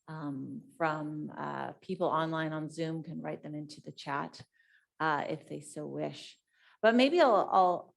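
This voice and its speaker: A woman moderating a lecture Q and A, asking follow-up questions in a hesitant way with many pauses. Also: deep voice. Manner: hesitant, many ums and uhs, gentle follow-ups. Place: lecture room.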